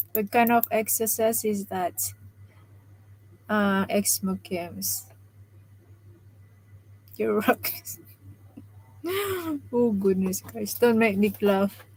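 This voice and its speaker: Slow, soft-spoken woman after jaw surgery. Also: mid-range voice, poor audio. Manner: speaks softly, restarting phrases, laughing often. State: recovering from jaw surgery, tired. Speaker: live stream host. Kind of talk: casual live stream chat reading comments.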